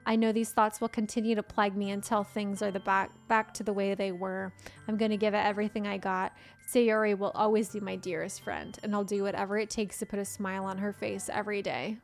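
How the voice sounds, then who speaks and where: A hoarse woman reading aloud the inner thoughts of a caring, worried teenage boy from a game. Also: medium pitch, little pitch movement, fairly quick, faint music behind, lightly acted. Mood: troubled. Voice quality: plain read-aloud delivery.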